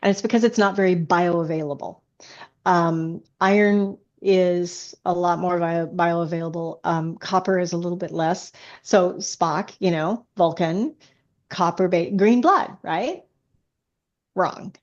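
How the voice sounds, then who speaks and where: Low-voiced woman, slightly dull audio. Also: unhurried, darkish tone. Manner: enthusiastic explainer. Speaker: guest, science fiction author. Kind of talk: casual interview chat.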